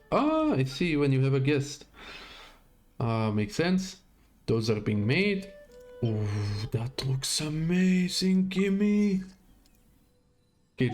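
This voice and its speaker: Slow-paced man streaming a game over music. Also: fairly high pitch, very animated. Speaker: game livestreamer. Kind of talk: casual live gameplay commentary.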